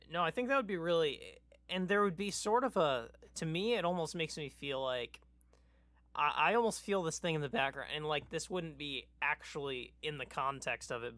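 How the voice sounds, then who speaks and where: Male co-host, bright forward voice, mid pitch, agreeable and loosely hesitant, dry recording. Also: no echo. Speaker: podcast co-host. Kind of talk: casual chat.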